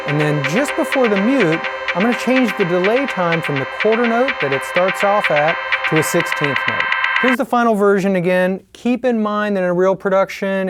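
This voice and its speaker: A man's voice: high voice, rough recording. An American man in his forties giving plain-spoken, scripted tutorial narration in a studio. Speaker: tutorial host. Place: studio, indoors.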